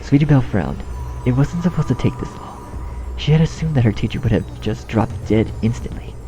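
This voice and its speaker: A man's voice: medium pitch, expressive, a little fast, mellow, a little hiss or hum, poor audio. A man reading a scripted story as narrator, in a dramatic storytelling style. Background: music.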